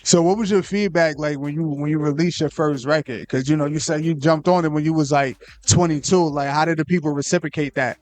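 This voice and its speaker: A man's voice: on the high side, fairly even intonation, brisk, hardly any pauses, faint music behind. A man hosting a radio interview, asking questions in a casual, joking way full of slang. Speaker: radio show host.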